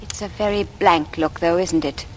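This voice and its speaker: A woman's voice: lowish voice, moderate pace, a little mellow, natural voice. An actress in a vintage radio drama playing a suspicious, probing Englishwoman with an intense, clipped manner. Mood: cool probing. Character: earnest, suspicious, probing bespectacled young Englishwoman. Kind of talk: 1940s radio acting. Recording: noisy vintage radio recording.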